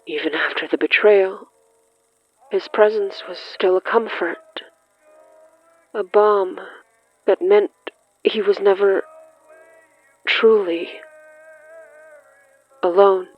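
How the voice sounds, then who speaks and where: Slow, measured narrator of dramatic horror fiction. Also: medium pitch, steady tone, dull audio, faint music behind. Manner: measured, deliberate, broken into short suspenseful phrases.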